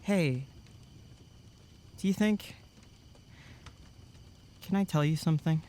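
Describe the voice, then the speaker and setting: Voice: medium pitch, slow pace, dark tone, natural voice. An actor playing an earnest, protective young male camp counselor with a hesitant, reassuring way of talking in an audio drama. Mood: shy.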